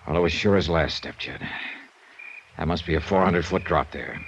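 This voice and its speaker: An actor playing a wry, cool-headed investigator in an old radio crime drama, speaking in a dry, steady way. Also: male voice, low voice, moderate pace, gravelly. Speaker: lead and narrator. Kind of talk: 1950s radio acting. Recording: dull old radio transcription with hiss.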